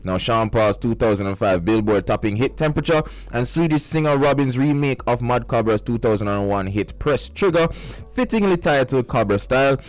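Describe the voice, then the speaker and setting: A man with a Jamaican accent, co-hosting morning radio in a lively, animated way. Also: medium pitch. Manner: lively, animated, quick to laugh. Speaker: radio co-host.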